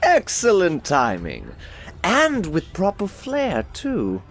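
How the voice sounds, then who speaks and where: A man's voice: sits high, wildly swooping pitch, slow, a bit bright, pitched above the performer's own voice, heavily acted. A man voicing a haughty, theatrical aristocratic sorcerer in a fantasy audiobook, with a pompous, dry drawl. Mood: smugly pleased.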